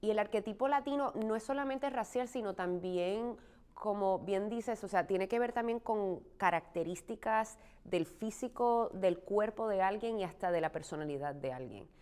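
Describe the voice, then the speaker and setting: A woman raised in Puerto Rico, an actress answering interview questions thoughtfully, often pausing with fillers. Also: medium pitch, a little mellow, clean tone. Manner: thoughtful, frequent restarts and "eh" fillers.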